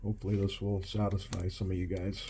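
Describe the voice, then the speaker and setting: Low, mellow man talking through hands-on work. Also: little pitch movement, a little hiss or hum. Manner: halting, thinking aloud while working with hands. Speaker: radio repair technician, video host. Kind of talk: casual hands-on repair commentary. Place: small indoor workshop at an electronics bench.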